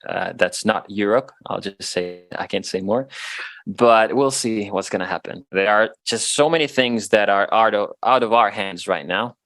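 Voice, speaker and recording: A man in his thirties from Finland, Swedish as his first language, chatting casually as a guest with frequent laughs and halting restarts. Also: lowish voice, gravelly, a bit bright, no room sound. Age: 30s. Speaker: guest musician. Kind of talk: casual interview chat.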